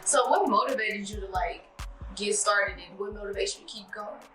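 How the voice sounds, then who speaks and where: A woman interviewing a guest, asking short casual questions and giving quick affirmations. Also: mid-range voice, a bit bright. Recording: echoey room, distant mic, faint music.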